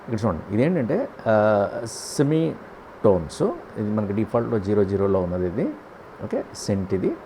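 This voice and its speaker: Telugu man, lowish pitch, tutorial delivery, asks and answers own questions, noisy background hum. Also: gravelly, mellow. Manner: explains by asking and answering own questions, frequent check-in tags. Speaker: audio editing tutor. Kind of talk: step-by-step software tutorial.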